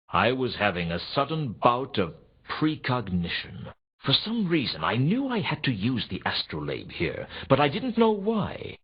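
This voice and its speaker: An actor playing a world-weary, wry noir detective, narrating a game in a deadpan, sardonic way. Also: male voice, on the low side, husky, exaggerated voice. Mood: dry, suspicious. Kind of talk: scripted first-person noir game narration. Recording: dull lo-fi game audio.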